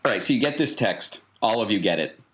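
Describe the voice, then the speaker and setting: Low-voiced man running a tabletop game. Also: animated, fast, fairly bright tone. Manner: conversational, prompting players with questions. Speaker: game master. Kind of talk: improvised tabletop game narration.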